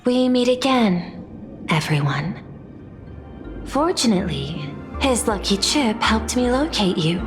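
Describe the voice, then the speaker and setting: A woman's voice: medium pitch, close to an everyday voice. A woman playing a courteous, composed female official in a video game, speaking formally and precisely. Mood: apologetic, composed. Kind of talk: expository game voice acting.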